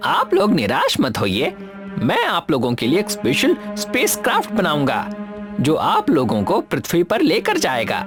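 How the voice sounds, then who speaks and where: A woman voicing a friendly, generous young alien boy in an animated children's story. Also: on the low side, moderate pace, over music. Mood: reassuring, friendly.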